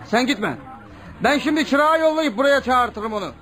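Village café owner, high lively voice, folksy, exclamatory, radio play. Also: male voice, moderate pace. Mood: eager, bossy. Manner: folksy, exclamatory, full of interjections. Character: folksy, talkative village coffeehouse owner, helpful busybody. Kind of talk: radio drama acting.

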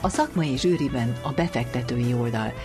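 Male programme presenter, mid-pitched, scripted links over clear guitar music. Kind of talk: scripted narration.

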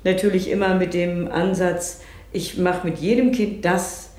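A woman's voice: lowish voice, flat delivery, measured pace, darkish tone, echoey room. A middle-aged woman from East Germany explaining her work thoughtfully, as in a documentary interview. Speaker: founder of an inclusive theater group.

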